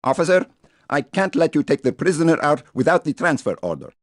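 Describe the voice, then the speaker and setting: A man playing a suspicious, officious Soviet security officer in a video game, with a gravelly, husky voice. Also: a little high, very animated, brisk, dry recording, clean studio sound. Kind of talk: video game cutscene acting.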